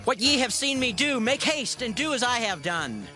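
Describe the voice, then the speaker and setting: Commanding warlord king, high strained voice, drawn-out delivery, scripture drama, music behind. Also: very animated, sharp tone, exaggerated voice. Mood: urgent, commanding. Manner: slow, emphatic commands. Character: ancient warlord king, commanding even while dying.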